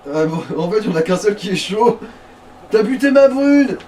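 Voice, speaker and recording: A man's voice: sits high, lively intonation, normal speed, mellow. A man giving casual, crude and mocking commentary on a video game, recorded at some distance from the mic with faint noise. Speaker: let's play co-commentator. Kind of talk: casual joking gameplay commentary.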